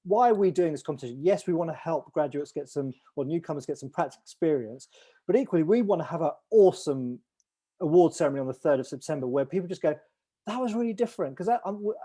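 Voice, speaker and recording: A man hosting a video call, his voice sitting a little high but soft and mellow in tone. He talks in a loose, rambling way, restarting sentences and leaning on fillers as he briefs everyone. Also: expressive, fast talker, husky. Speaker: event organizer hosting an online call.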